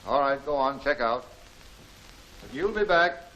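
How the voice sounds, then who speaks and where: An actor playing a gruff, plain-spoken tough man in a 1940s film serial. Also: mid-range voice, animated, unhurried, fairly bright tone, highs cut off, a little hiss or hum. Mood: gruff, dismissive.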